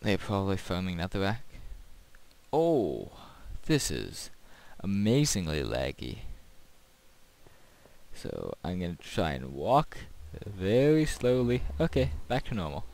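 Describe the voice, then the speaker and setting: A man's voice: deep voice, wildly swooping pitch, takes it slowly, vocal fry, darkish tone. A man giving casual gameplay commentary as a video host, rambling and thinking aloud.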